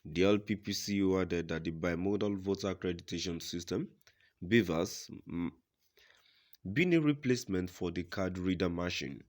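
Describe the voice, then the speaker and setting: A man reading scripted news reports in a formal, deliberate manner as a news reader. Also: sits low.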